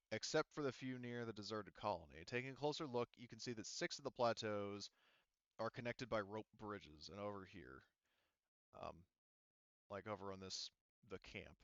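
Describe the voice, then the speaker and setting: A man's voice: on the low side, a bit bright, clean tone, no echo. A man narrating as game master for a tabletop game, rambling with many fillers and restarts, heard over dull, lo-fi call audio.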